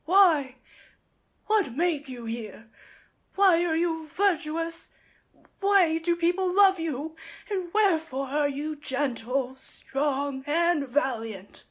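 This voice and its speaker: Woman playing an anxious old servant man, high-voiced. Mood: alarmed, pleading. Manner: earnest, emotive, slow declamation. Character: devoted old male servant, anxious and loyal. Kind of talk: Shakespearean radio play acting.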